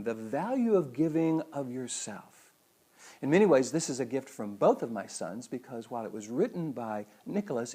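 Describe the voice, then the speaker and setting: A man's voice: mid-range voice, warm tone. A middle-aged man giving a motivational talk as a host, with earnest, emphatic phrasing. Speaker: psychologist and speaker hosting a weekly show.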